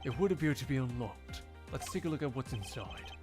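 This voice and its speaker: A man's voice: mid-range voice, lively intonation, brisk, music in the background, lightly acted. A man voicing a stern, proper, easily exasperated prosecutor in a live game fandub, speaking formally and precisely. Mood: analytical, composed.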